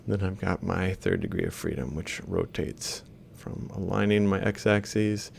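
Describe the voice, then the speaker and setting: A man's voice: sits low, fairly even intonation, measured pace, creaky, slight background noise. A man giving an instructional lecture as he works on screen, explaining step by step and reciting values piece by piece. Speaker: university lecturer. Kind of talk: instructional screen-capture lecture, thinking aloud while writing.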